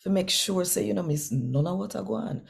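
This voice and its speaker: A woman in her sixties with a Jamaican accent, hosting and reading scripture in an expressive, deliberate storytelling way. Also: on the low side, vocal fry. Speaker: channel host and scripture reader. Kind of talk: daily scripture reading and chat in Jamaican Patois. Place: small indoor room at home.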